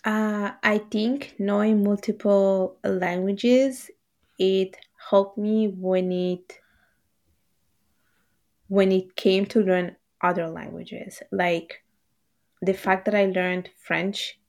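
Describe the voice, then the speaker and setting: A woman's voice: mid-range voice, steady tone, extremely slow, a little mellow. A woman whose first language is Bengali, a guest in a casual interview, speaking thoughtfully with fillers.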